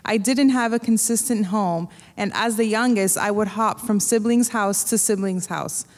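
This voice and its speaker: A woman's voice: medium pitch, normal speed, a little mellow. Woman interpreter reading in a church. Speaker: interpreter translating the testimony. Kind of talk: reading a prepared translation aloud. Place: church stage before an audience. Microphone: stand microphone. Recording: long reverb of a large church hall.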